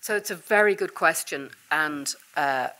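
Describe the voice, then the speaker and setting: A woman's voice: deep voice, measured pace, sharp tone. A woman with an English accent, a visiting professor, giving a seminar talk and answering questions in a thoughtful, conversational way. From England. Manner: thoughtful, conversational lecturing with frequent "uh" and "you know". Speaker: visiting professor and guest speaker. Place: university seminar room.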